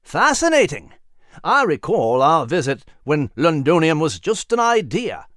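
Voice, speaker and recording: Man playing a proper, animated gentleman animal. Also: on the high side, unhurried, no room sound, studio quality. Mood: delighted. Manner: proper, formal, precise. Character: refined, learned, bespectacled male animal gentleman.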